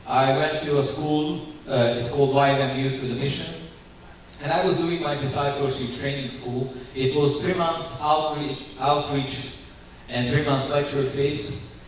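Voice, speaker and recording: A young man with a Ukrainian accent giving his church testimony in earnest, halting English full of restarts. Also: medium pitch. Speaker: guest speaker giving testimony. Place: church sanctuary. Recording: very echoey church hall, distant mic, faint hiss.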